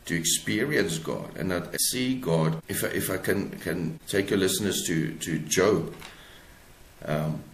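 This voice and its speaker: Male interview guest, South African accent, low-pitched, thoughtful and halting delivery, echoey room. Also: lively intonation. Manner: halting, repeats words as he searches for phrasing. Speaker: guest author. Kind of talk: reflective interview talk. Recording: roomy, echoing sound.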